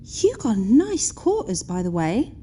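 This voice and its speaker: A woman's voice: mid-range voice, wildly swooping pitch, measured pace, dark tone, a little hiss or hum. A woman playing a cheery, snarky young starship engineer in an audio drama, casual and friendly in her manner. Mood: friendly, concerned.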